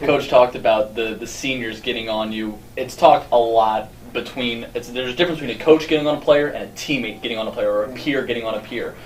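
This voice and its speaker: A man hosting a team show, asking measured questions that trail off quietly at the end. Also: mid-range voice, fairly even intonation, distant mic, a little hiss or hum, poor audio. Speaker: show host, interviewer. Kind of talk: interview questions.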